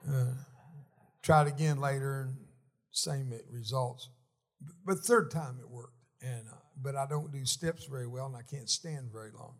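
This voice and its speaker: A man in his seventies giving a folksy, joking testimony sermon while seated in a church, often halting and restarting. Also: mid-range voice. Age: 70s. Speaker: guest speaker at a church.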